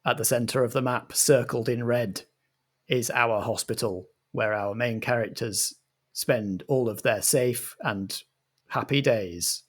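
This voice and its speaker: A man narrating scenes as the director of a comedic audio roleplay, in a measured storytelling style. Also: medium pitch, slightly rough.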